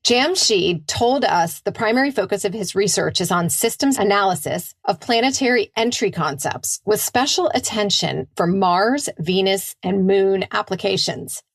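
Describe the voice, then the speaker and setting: A woman co-hosting a podcast, excitable in casual chat, swearing freely and laughing. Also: medium pitch, moderate pace, hardly any pauses, no room sound, clean studio sound. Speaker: podcast co-host.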